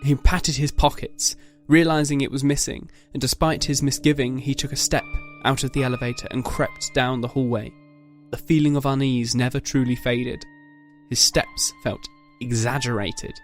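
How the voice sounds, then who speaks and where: A man's voice: medium pitch, fairly even intonation. Male narrator of a dramatic story, music underneath. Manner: measured, literary delivery.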